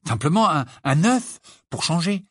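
A man's voice: fairly high pitch, big pitch swings, unhurried, no room sound, clean studio sound, pitched above the performer's own voice. A man reading an audiobook voices an eager, sometimes grumbling teenage boy wizard. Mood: hopeful.

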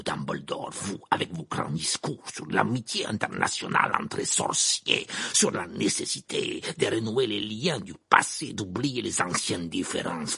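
A man's voice: lowish voice, creaky, pushed and bright, breathy edge, no echo, studio quality, heavily acted. A man voicing a proud, paranoid wizard headmaster who declaims indignantly in an audiobook story.

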